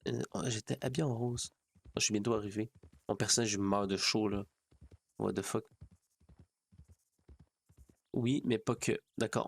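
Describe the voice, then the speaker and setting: A man with a Quebec French accent chatting casually to livestream viewers, rambling and repeating his phrases. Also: fairly low pitch. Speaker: streamer.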